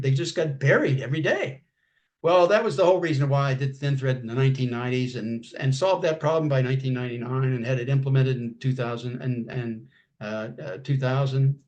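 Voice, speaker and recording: Halting older man, clearing his throat. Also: mid-range voice. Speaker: guest. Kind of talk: casual interview chat.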